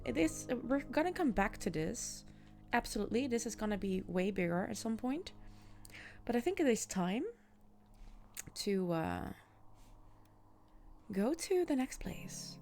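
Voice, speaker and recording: Dutch girl streaming, a bit of vocal fry, chatty with chat, soft music underneath. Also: a little high. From Netherlands. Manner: chatty, answers chat, frequent laughs and restarts. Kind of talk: casual chat with viewers while gaming.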